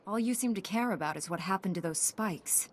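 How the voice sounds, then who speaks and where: A woman's voice: medium pitch, brisk, natural voice. A woman plays a resolute young knight, a principled video game heroine. Her mid-range voice is composed, formal and firm, with faint noise underneath. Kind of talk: scripted video game dialogue.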